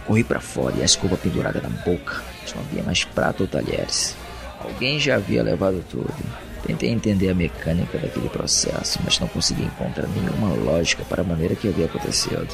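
A man with a Brazilian accent playing an introspective, uneasy captive who narrates an audio drama in a brooding, deliberate way. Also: low voice, creaky, exaggerated voice. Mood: puzzled, methodical. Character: captive man, introspective, uneasy, reasoning through his confinement.

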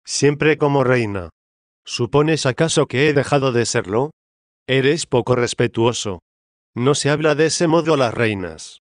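Un hombre que pone voz al espíritu de una reina difunta, orgullosa y desdeñosa, que responde con altivez. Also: male voice, mid-range voice, expressive, a little slow, no echo, studio quality, natural voice. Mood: indignant. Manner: disdainful, imperious. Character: haughty dead queen's spirit, woman, proud, scornful.

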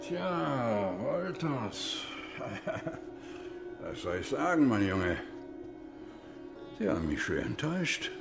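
Stern middle-aged army major, animated and gravelly. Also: male voice, mid-range voice, drawn-out words, long pauses, loud music behind the voice, exaggerated voice. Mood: wistful, disappointed. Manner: paternal, menacing, with probing tag questions. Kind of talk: German radio crime drama acting.

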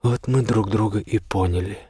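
A man's voice: lowish voice, normal speed, dark tone, husky, no echo, studio quality. A man voicing a firm, frank marquis in an audiobook, speaking sternly and insistently as a concerned friend.

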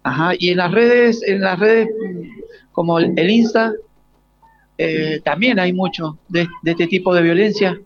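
A man in his forties with an Argentine accent, interviewing casually and often stopping to rephrase his questions. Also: high voice. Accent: Argentine Spanish. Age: 40s. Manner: halting, rephrases questions repeatedly. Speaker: radio interviewer. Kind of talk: casual live interview with students. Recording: dull lo-fi live broadcast sound.

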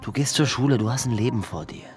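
A man's voice: mid-range voice, fast talker, music in the background, lightly acted. An actor playing an anxious, exasperated man entangled in a blackmail deal in a radio drama, tense, reproving and hesitant. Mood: tense, firm.

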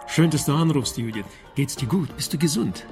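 A man's voice: fairly high pitch, expressive, fairly quick. An actor playing a scheming, soothing underworld man in a German radio drama, hesitant and reassuring. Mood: urgent, controlled. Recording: music behind the voice.

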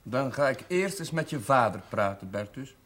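An actor playing a kindly, quietly authoritative clergyman in a television drama, on an old soundtrack. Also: medium pitch, expressive, moderate pace. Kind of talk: 1970s Dutch television drama acting.

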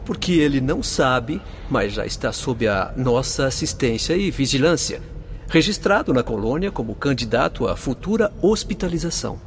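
An actor playing a calm, authoritative doctor in a radio drama, explaining things formally. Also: male voice, medium pitch, normal speed, a little hiss or hum, lightly acted. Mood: grave. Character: calm, authoritative male doctor of a spirit hospital. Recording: music behind the voice.

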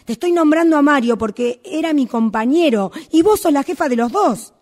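A woman's voice: high voice, animated, brisk, a little mellow. A woman with a Rioplatense accent playing an indignant employee who confronts her boss with insistent questions, in amateur radio theatre. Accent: Rioplatense Argentine Spanish.

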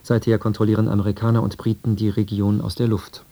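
A man reading a scripted radio news and weather bulletin in a sober, measured manner. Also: on the low side, flat delivery, dark tone. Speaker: radio newsreader.

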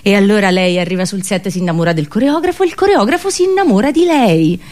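A woman's voice: mid-range voice, expressive, quick pace, darkish tone. A woman performing a comic theatrical monologue for radio, colloquial and sarcastic, full of exaggerated rhetorical questions.

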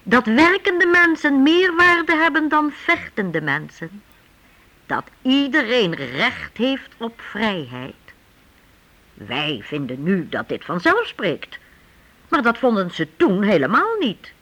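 An expressive, reflective narrator telling a scripted historical radio drama with emphatic phrasing. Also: medium pitch, slow pace, exaggerated voice, rough recording.

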